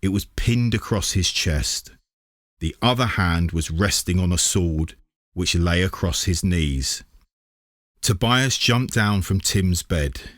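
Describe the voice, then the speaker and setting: Male children's book reader, deep pitch, slow pace, scripted storybook narration. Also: animated, no room sound, clean studio sound.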